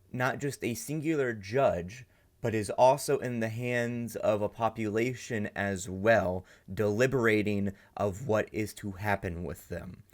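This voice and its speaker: A young man hosting a reaction video, commenting casually and rambling as he thinks aloud. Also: lowish voice. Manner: rambling, many fillers and restarts, thinking aloud. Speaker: reaction channel host.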